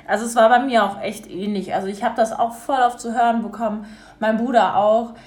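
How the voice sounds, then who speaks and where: Young woman co-host, relaxed and wry. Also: fairly high pitch, a little fast. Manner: relaxed, leads topics, dry remarks. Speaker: radio show co-host. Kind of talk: casual radio chat.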